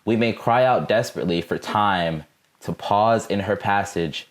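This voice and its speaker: A man giving a solemn, measured dramatic reading of a speech in an oratorical style. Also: on the low side. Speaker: reader in a student theatre performance.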